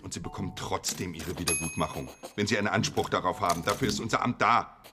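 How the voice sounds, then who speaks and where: An actor playing a firm, formal office official in a German radio play. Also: male voice, low-pitched, quick pace, background noise, lightly acted. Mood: firm, defensive. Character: firm, indignant male compensation office official.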